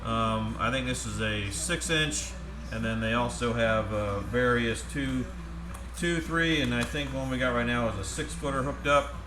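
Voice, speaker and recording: A man giving a casual, step-by-step product demonstration in a halting, plain-spoken way. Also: medium pitch, background noise. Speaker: presenter demonstrating converted car radios. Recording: roomy echo, music playing from radio. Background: music from the demonstrated radio.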